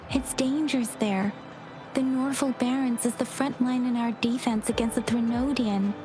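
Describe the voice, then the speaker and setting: Game companion, actress: thoughtful young woman, high gentle voice, cautious and polite, music underneath. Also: close to an everyday voice. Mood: cautious, serious. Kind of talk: video game voice acting.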